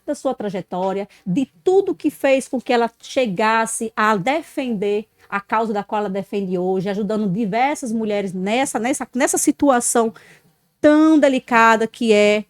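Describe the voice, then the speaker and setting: Lively woman host. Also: on the high side, moderate pace, dry recording. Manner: expressive, frequent "né", loud greetings. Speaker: podcast host. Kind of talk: warm podcast interview.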